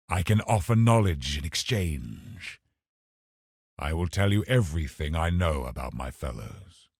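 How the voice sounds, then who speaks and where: An actor playing a scheming, pious villain in a video game, a cold religious officer who speaks in a measured, haughty, husky voice. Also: male voice, sits low, a little mellow, dry recording, studio quality, heavily acted. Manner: measured, haughty, calculating, formal. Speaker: game boss character. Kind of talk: dramatic video game voice acting.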